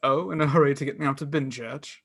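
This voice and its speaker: A man acting in a radio play as a bookish, excitable young student with a theatrical, formal delivery. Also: medium pitch, fast talker. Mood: breezy, dismissive.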